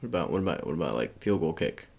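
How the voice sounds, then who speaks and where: A man's voice: extremely low pitch, animated, racing, darkish tone, slight background noise. A man playing an awkward, politely doubtful sports agent in an improvised ASMR roleplay, stammering and repeating his phrases as he talks to the listener. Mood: hesitant, encouraging.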